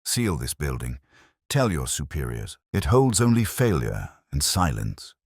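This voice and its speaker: Incisive male detective read by a male narrator, cryptic and terse, bass register, close dry sound. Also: sing-song, a little mellow, slightly rough, dry recording, heavily acted. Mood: stern, commanding. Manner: brisk, terse, commanding. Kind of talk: audiobook character acting.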